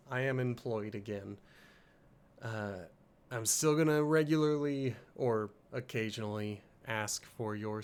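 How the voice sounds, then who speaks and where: Slow-paced, slightly congested male streamer. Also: medium pitch, creaky. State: slightly sniffly, congested from allergies. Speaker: live streamer and host of a game playthrough. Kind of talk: casual rambling livestream chat.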